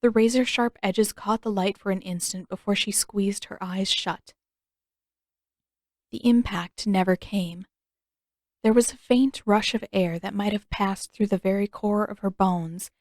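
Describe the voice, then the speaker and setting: A woman narrates a scripted adventure story in a medium-pitched voice. The recording is dry and close, like an audiobook. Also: steady tone, unhurried, darkish tone, no room sound.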